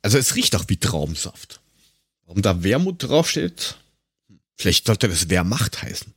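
Husky man bantering, lively pitch. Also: moderate pace, dry recording, clean studio sound. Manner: colloquial, jokey, exclamatory. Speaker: podcast host. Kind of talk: casual banter, tasting snacks and drinks.

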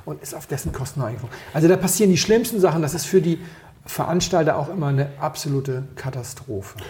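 A man from Berlin chatting casually on a podcast as co-host, rambling through anecdotes with quick asides and self-corrections. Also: a little high, expressive, a little mellow. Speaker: podcast co-host and wine taster.

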